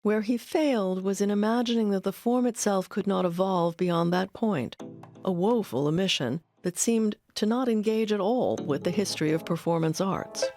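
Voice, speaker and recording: A woman's voice: medium pitch, normal speed, a little mellow, faint music behind. A woman hosting a documentary, reading scripted narration.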